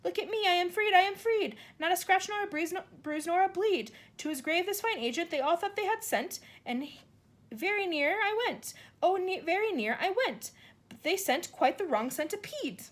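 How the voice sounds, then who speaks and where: Woman reading as a male centipede, boastful, excitable, very high, sing-song, loud. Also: moderate pace. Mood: gleeful. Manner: shrill, gleeful, sing-song rhyming.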